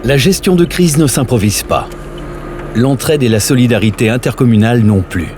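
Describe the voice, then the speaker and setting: A man's voice: medium pitch, moderate pace, background noise. A man reading scripted documentary narration as a voice-over. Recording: music bed under voice. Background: music.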